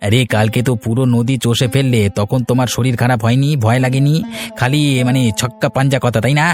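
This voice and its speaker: A man's voice: mid-range voice, fairly even intonation, brisk, darkish tone, faint music behind, close to an everyday voice. A man voicing a pushy, shifty village schemer in a cartoon, by turns wheedling and bullying. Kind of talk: cartoon story dialogue.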